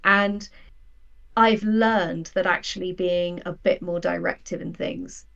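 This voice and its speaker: A woman's voice on the lower side, with a marked creak. She talks as an interview guest, reflecting openly and explaining her working process with frank self-doubt. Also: fairly even intonation, takes it slowly, a bit bright, dull audio. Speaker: guest, audio drama writer.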